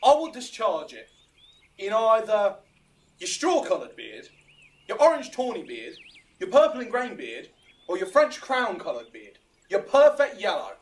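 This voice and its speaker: A man's voice: high voice, wildly swooping pitch, measured pace, far from the mic, full character voice. A man playing a boastful, eager amateur actor with a showy, self-important manner in a Shakespearean audio drama. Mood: pompous.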